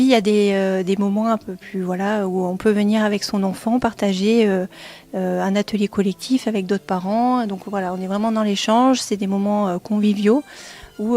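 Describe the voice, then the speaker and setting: Woman, soft dark timbre, mid-pitched, informal explanatory interview guest, small room. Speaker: director of a family support centre, interview guest. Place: small kitchen of a community family centre.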